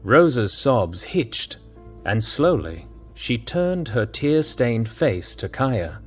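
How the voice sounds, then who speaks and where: A man's voice: mid-range voice, big pitch swings, extremely slow, slightly rough, soft background music. A man narrating a scripted audio drama as a dramatic storyteller.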